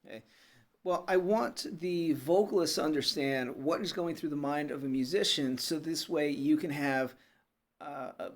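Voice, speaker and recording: A man teaching a relaxed music lesson, explaining step by step with many pauses and checking in with "okay?" as he goes. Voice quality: fairly low voice. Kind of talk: unscripted video lesson.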